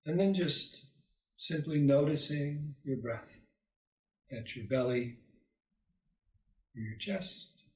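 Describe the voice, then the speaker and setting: A man leading a guided meditation as a teacher, calm and soothing, leaving long pauses between short phrases. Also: a little high. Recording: roomy echo, distant microphone.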